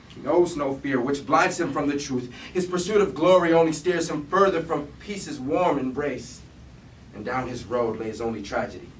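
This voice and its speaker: A man playing a grave, war-weary robot warrior leader haunted by guilt, in solemn, declamatory Greek tragedy style at a staged reading. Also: a little high. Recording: echoey room from far off, hiss.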